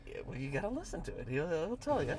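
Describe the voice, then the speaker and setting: A man's voice: on the high side, very animated, fast talker, a loud crowd. A man co-hosting a casual sports podcast, hesitant, often stammering and restarting his sentences. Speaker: podcast co-host.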